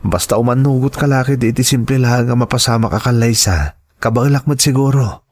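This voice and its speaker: A man's voice: mid-range voice, a little fast, darkish tone, natural voice. Man acting an earnest young devoted son and lover. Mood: reassuring, earnest. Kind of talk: dramatized audio story acting.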